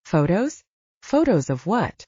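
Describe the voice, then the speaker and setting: A woman voicing a gruff but caring male soldier in an audiobook, speaking tersely and bluntly. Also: on the low side, sing-song, slow, warm tone, dry recording, highs cut off, heavily acted. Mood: alarmed, angry.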